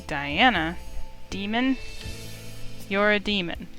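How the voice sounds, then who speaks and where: A feisty young woman voiced by an actress tells her visual novel story in the first person over music. Her pitch sits in the middle, and she sounds indignant and defiant, both narrating and in dialogue. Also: lively intonation, slow pace, a bit bright. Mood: startled, indignant.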